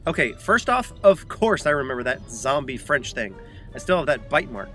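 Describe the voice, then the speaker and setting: A man's voice: medium pitch, very animated, moderate pace, pushed and bright, faint music behind. A man playing a theatrical, bossy adventurer in an audio comedy, emphatic and arch in his delivery. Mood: indignant. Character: theatrical, bossy, indignant adventurer, fond of festive fun.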